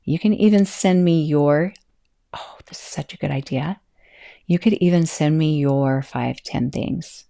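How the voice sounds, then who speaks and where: A middle-aged woman and yoga teacher hosting her own podcast in a chatty, self-interrupting way, laughing often, with a calm voice that can turn loud. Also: deep voice, a little slow, creaky. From California. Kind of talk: casual solo podcast talk.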